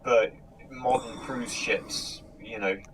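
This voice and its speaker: A man hosting a casual discussion, thinking aloud in a halting, tentative way. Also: medium pitch, steady tone, fairly bright tone, low-quality recording. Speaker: host reading audience questions. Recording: clear background noise.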